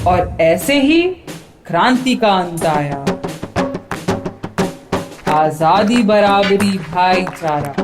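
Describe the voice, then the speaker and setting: A woman performing as a dramatic, declamatory storyteller of the French Revolution in a rhyming radio play. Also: fairly low pitch.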